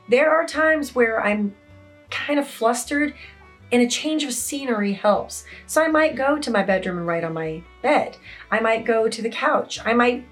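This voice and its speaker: A woman with a naturally raspy voice that is a little hoarse today talks in a relaxed, conversational way from her home office. Her pitch sits in the middle range, and she keeps the talk loose with plenty of "you know"s. Faint music plays quietly underneath. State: hoarse from yelling. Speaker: indie romance author. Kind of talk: casual talking-head advice vlog. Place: home office with open windows.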